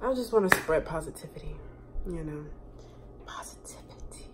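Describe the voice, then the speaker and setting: A woman's voice: low voice, expressive, normal speed, whispered. A woman in her twenties chatting casually to her phone camera in a home kitchen, rambling with lots of fillers. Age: 20s. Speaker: vlogger. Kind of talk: casual chat. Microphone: phone camera propped close on the counter.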